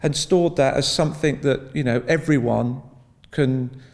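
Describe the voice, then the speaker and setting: A man's voice: medium pitch, a little slow, creaky, big-room sound. A man speaking reflectively in an interview as a drama educator.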